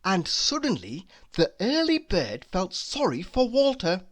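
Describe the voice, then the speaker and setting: Slow, very animated woman reading a storybook. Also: mid-range voice. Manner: deliberate, dramatic emphasis. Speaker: storybook reader. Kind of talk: expressive children's read-aloud narration.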